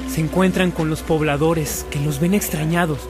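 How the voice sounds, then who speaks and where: An actor as the narrator of a radio drama, telling the story in a dramatic scripted style. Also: male voice, fairly high pitch, fairly even intonation, normal speed, over music, close to an everyday voice. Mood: storytelling.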